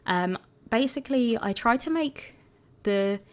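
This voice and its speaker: A woman with a croaky voice, chatting casually and rambling a bit as she talks over her video. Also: a little high, lively intonation, measured pace. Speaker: gaming video creator.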